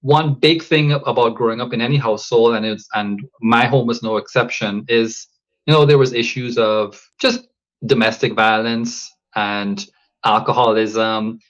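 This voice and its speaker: A man from Guyana, a coach and father, talking reflectively in a podcast interview, measured and thoughtful with many fillers and restarts. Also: mid-range voice, fairly even intonation, dull audio.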